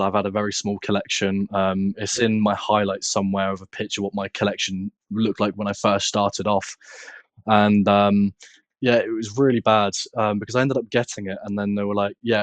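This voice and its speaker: A man's voice: lowish voice, no room sound. A man with a British accent chatting casually as a podcast guest and content creator. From United Kingdom. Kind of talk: casual chat.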